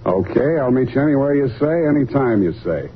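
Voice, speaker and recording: An American actor playing a wry, unflappable hard-boiled private detective in 1940s radio style, clipped and slangy. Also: male voice, mid-range voice, sing-song, brisk, a little hiss or hum, close to an everyday voice. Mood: wry, probing. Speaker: lead actor and narrator of a detective series. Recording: dull old radio recording with music.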